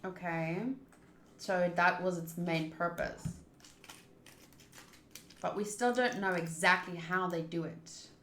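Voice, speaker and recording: A woman's voice: on the low side, steady tone, slow pace, pauses often, fairly bright tone, a little hiss or hum. A young woman game streamer reading game dialogue aloud and adding playful, self-mocking casual commentary. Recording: roomy sound over game music. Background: faint game music.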